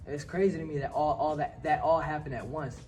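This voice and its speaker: A man's voice: on the high side, fairly even intonation, brisk, darkish tone, far from the mic. Earnest young man from Palestine, off-mic. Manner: earnest, reflective, repeats and restarts phrases. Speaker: podcast guest. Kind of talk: casual storytelling chat. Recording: set back from the mic, with music behind.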